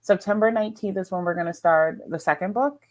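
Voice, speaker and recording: A woman's voice: medium pitch, brisk, a little mellow. A woman hosting a book readalong livestream in an informal, chatty way, talking to co-hosts and viewers. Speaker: livestream host.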